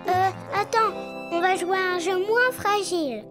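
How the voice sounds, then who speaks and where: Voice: very high-pitched, measured pace. A performer voicing an eager, kind little boy bear cub in a preschool cartoon, earnest and childlike. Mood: hesitant, earnest. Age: child character. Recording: music behind the voice.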